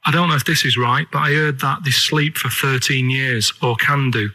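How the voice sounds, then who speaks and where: A Northern English man with a bright, forward voice at an ordinary pitch. He chats on the radio in a rambling, earnest way, pressing naive points persistently. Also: steady tone, moderate pace. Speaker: radio show regular making a phone call. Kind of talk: rambling comic radio chat.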